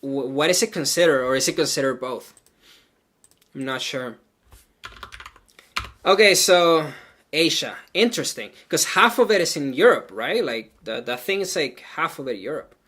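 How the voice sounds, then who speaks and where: A man from Venezuela, a native Spanish speaker, codes on a live stream and chats loosely with his viewers. His voice sits a little high and sounds bright and forward. He thinks aloud, full of "like" and "um", with keyboard typing heard behind him. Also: expressive, moderate pace, long pauses. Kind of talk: casual live coding stream, chatting with viewers.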